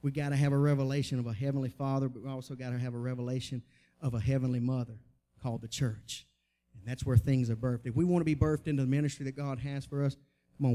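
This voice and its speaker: A man's voice: medium pitch, dark tone. A man with a Southern American accent preaching a charismatic church sermon, folksy and colloquial, exhorting his listeners and calling on them to respond. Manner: exhortatory, with rhetorical questions and calls for response. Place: church, preaching to a gathered congregation.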